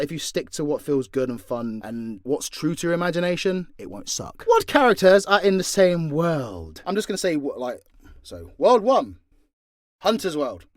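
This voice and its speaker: A man's voice: mid-range voice, no room sound, clean studio sound. A British man answering fan questions in a casual, unscripted way, blunt and informal with plenty of swearing. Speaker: audio drama creator and voice actor answering fan questions.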